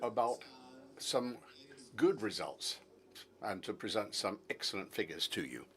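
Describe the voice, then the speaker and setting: A man with a low voice renders a formal report in a measured, steady way. He often pauses on fillers as he keeps pace with the speaker. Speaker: interpreter. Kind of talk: simultaneous interpreting of a report.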